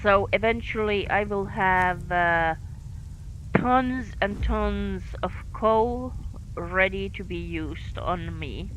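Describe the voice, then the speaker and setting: A woman streamer with a light Finnish accent talks through her play session at an unhurried pace. She pauses often, filling the gaps with fillers as she thinks aloud. A faint hiss sits under her home recording. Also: medium pitch, a bit bright, rough recording. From Finland. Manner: halting, many fillers, thinking aloud while playing. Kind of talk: live game stream commentary. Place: home in France.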